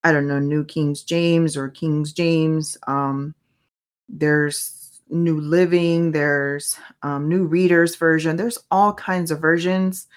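A woman's voice: deep voice, flat delivery, takes it slowly. A woman whose first language is Spanish, hosting her own faith podcast in an earnest, conversational monologue that often asks herself questions. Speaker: podcast host.

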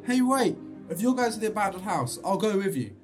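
A man's voice: sits high, very animated, a little fast. Man playing cautious young friend, music underneath. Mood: concerned. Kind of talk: radio drama dialogue.

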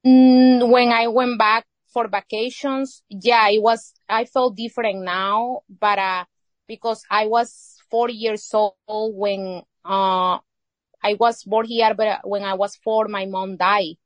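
A woman's voice: mid-range voice, fairly even intonation, no room sound. Earnest woman with a Salvadoran Spanish accent. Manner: halting, searching for English words with many pauses. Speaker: guest. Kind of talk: casual interview conversation.

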